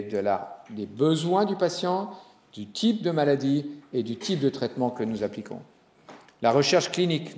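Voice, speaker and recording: Guy giving a hospital conference talk, middle pitch, echoey hall, jokes around a bit. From Italian descent. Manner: animated speaker with jokes and fillers. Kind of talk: conference keynote. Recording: roomy hall sound.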